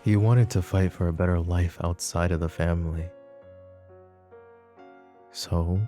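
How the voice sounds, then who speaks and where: A man acting a flirty, possessive mafia boss in an intimate ASMR roleplay, speaking softly and unhurriedly in a teasing, world-weary way. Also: low-pitched, steady tone, a little slow, soft background music. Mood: quiet, reflective. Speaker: voice actor.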